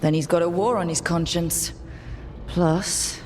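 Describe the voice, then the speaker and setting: A woman's voice: fairly low pitch, steady tone, unhurried, darkish tone, close to an everyday voice. A woman voicing a compassionate, level-headed leader of a fantasy band in a video game cutscene. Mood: measured, practical.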